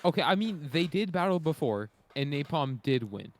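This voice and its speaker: Higher-pitched man, hesitant podcast co-host. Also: expressive, moderate pace, no echo. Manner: hesitant, thinking aloud. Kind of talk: casual chat.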